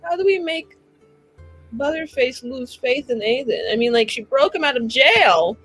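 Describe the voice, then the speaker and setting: A woman's voice: high-pitched, big pitch swings, moderate pace, a bit bright, soft background music. A woman talking casually as a player in a tabletop roleplaying game.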